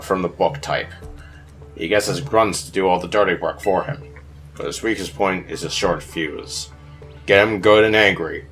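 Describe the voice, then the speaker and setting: Man voicing a low, hushed secretive mastermind. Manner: low, measured, businesslike. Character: secretive, calm mastermind briefing the player.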